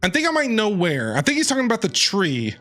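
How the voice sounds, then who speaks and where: A man streaming a video game, reacting excitedly in casual commentary and talking back to the characters. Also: sits high, quick pace. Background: game music.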